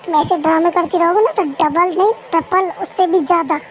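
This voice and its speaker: Lady doing a bossy husband, quiet and threatening, pitched down from her own high voice. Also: slow, a little hiss or hum. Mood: stern. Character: stern, possessive young husband. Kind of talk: amateur fan-dub voice acting.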